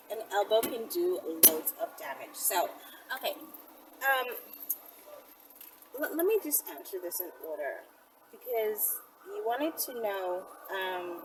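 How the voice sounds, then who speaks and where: A woman host chatting casually to viewers in an emphatic, conversational way, often asking them rhetorical questions. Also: mid-range voice. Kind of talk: casual chat to camera. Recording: distant mic, clearly noisy background.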